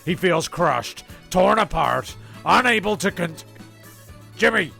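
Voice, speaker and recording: A gravelly, heavily creaky male narrator with a bright, forceful edge, rambling and worked up over faint music. Just above average pitch, in a rough homemade recording. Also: animated, takes it slowly. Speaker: narrator and host.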